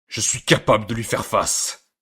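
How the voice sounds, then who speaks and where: A man's voice: fairly low pitch, normal speed, sharp tone, slightly rough. A man playing a proud, bitter mercenary killer in an anime fan dub, with a brooding, dramatic delivery. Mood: tense, wary.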